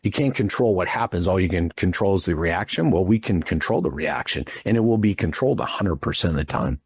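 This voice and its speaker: A man in his fifties talking casually as an interview guest, blunt and informal, often checking his points with a quick "right?". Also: deep voice, expressive, vocal fry, breathy edge, no room sound. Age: 50s. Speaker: interview guest, front desk trainer. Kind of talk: casual, animated opinion talk.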